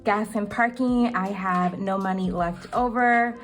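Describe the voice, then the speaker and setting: A woman's voice: mid-range voice, a little slow, soft background music. Chatty young woman with a cracking voice. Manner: chatty, with many ums. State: slightly cracking voice. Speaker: budgeting vlogger. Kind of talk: casual budgeting walkthrough.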